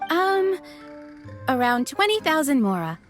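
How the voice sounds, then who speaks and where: A courteous young waitress with an extremely high, sweeping voice. She is polite and service-minded, in anime game style over faint music. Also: very animated, a little slow. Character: polite young female restaurant server. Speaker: server.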